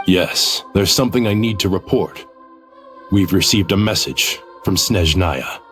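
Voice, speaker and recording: A man's voice: low-pitched, a little slow, fairly bright tone. A man playing a courteous, deferential advisor to a deity in a video game, speaking politely and formally. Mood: relieved, respectful. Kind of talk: scripted video game dialogue. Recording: music under the voice.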